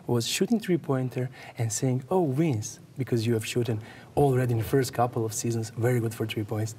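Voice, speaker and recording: Casting: male sports interviewer, native Serbian with matching accent, mellow mid-range voice, faint background noise. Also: medium pitch. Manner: halting, non-native phrasing. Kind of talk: on-location sports interview questions.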